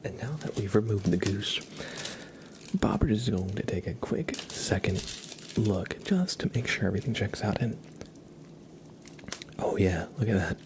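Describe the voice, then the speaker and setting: Male ASMR streamer, lowish voice, very slow and soft, frequent whispering, casual chat, close mic. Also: noisy background. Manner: soft-spoken, often dropping into whispers. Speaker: ASMR livestreamer, host.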